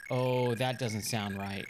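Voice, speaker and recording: A man hosting his own game stream in casual chat, his voice a little hoarse from the work week, upbeat and thinking aloud as the games load. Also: medium pitch, poor audio. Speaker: streamer and channel host.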